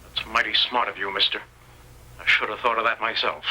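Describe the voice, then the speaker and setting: A sneering, scheming outlaw in an old radio Western. His American voice is very sharp and forceful, with rustic, threatening talk on a tinny vintage recording. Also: lowish voice, fairly even intonation, fairly quick, breathy edge, exaggerated voice. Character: scheming male bandit, menacing, sneering, posing as brother.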